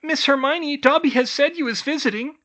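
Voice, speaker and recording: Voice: high voice, normal speed, sharp tone, voice pushed up. A squealing young elf girl. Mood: excited. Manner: squealing, giggly. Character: sonia, an excitable young female house-elf.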